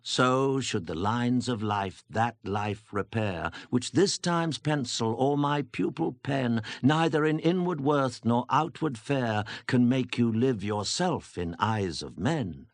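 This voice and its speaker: A man giving an expressive recital of classic verse. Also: medium pitch, dry recording. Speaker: poetry reader.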